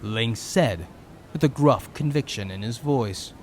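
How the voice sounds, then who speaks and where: A man's voice: mid-range voice, a little slow, slight background noise. A man giving dramatic scripted narration of a story.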